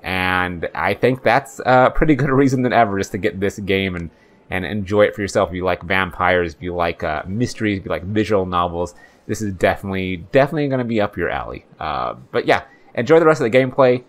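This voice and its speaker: A man's voice: deep voice, fairly quick. A man hosting a gameplay video, talking in an informal, rambling way as he comments on the game.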